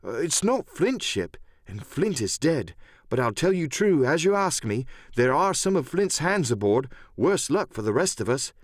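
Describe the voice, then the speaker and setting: A man's voice: fairly high pitch, sing-song, moderate pace, no echo, clean studio sound. A man voicing an earnest, polite boy adventurer in an audiobook radio play.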